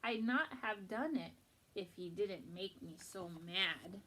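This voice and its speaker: A woman's voice: medium pitch, slow pace, sharp tone, a little hiss or hum. A woman voicing a whiny young male dragon full of protest in a children's storybook. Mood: defensive whining. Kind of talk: children's picture book read-aloud.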